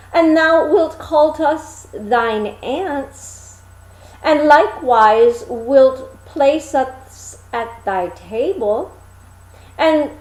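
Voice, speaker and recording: A woman voicing strange storybook spinner women who bargain with solemn insistence in measured, archaic phrasing. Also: high voice, a little mellow, roomy sound, exaggerated voice, rough recording.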